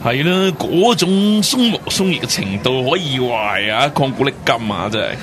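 A man's voice: mid-range voice, sing-song, moderate pace, background noise, natural voice. An actor playing a brash, foul-mouthed, teasing man with a Hong Kong Cantonese accent in a casual radio drama. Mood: teasing, exasperated.

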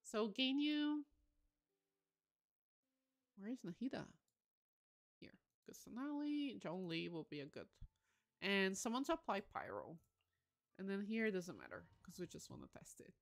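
A woman's voice: mid-range voice, big pitch swings, a little slow, vocal fry, pauses often. A woman in her late twenties, a bit tired, chatting casually and candidly as she livestreams a game, giggly and self-deprecating. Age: late 20s.

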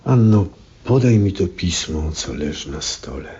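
Husky, slow old astronomer. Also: male voice, low voice, lively intonation, warm tone, heavily acted. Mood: weak, weary. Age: older. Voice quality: husky, breathy. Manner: slow, halting, solemn delivery. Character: ailing elderly male astronomer, weary yet stubborn. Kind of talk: 1970s Polish radio drama acting. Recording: dull vintage radio recording.

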